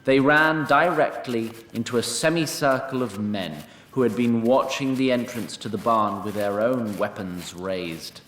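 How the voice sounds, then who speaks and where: A man narrating a scripted fantasy story in a measured, dryly wry manner. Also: mid-range voice, a bit bright, echoey room, slight background noise.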